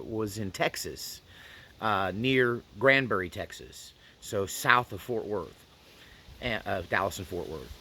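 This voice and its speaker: A man's voice: mid-range voice, bright, forceful tone. A man telling a family story in a casual, unscripted, folksy way, repeating phrases for emphasis. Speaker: storyteller and host. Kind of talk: casual first-person storytelling to camera.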